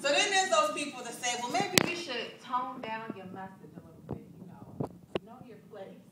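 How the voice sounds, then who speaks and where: A woman performing a spoken word poem live on a club stage, rhythmic and building from hushed lines to loud declamation. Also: a little high, moderate pace, heavy background noise. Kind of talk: slam poetry performance. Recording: echoey club recorded from far off.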